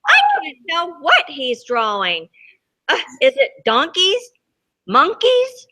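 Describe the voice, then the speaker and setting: A woman acts out a fed-up little storybook crayon, desperate to be saved. The voice is whiny and exasperated, drawn out slowly, with lively rises and drops in pitch. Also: full character voice. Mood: exasperated, pleading. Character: desperate, fed-up toddler's chunky crayon begging for rescue.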